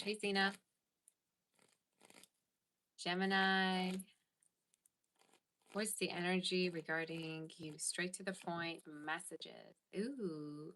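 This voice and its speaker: A woman's voice: fairly low pitch, bright, forceful tone, slight background noise, poor audio. A woman giving a casual tarot reading to her viewers, chatting and answering comments as she goes. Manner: chatty, reads live chat aloud. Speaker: tarot reader.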